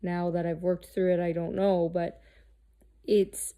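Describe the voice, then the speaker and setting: A woman in her thirties, talking candidly and haltingly about herself, restarting often and swearing freely. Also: fairly low pitch, flat delivery, dark tone, clear, resonant tone. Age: 30s. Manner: halting, searching, with many restarts and fillers. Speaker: host. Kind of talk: candid personal monologue.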